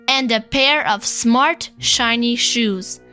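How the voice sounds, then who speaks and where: Children's read-aloud narrator, woman, higher voice, unhurried and clear. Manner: slow, clear, expressive storytelling. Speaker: storybook reader. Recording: faint background music.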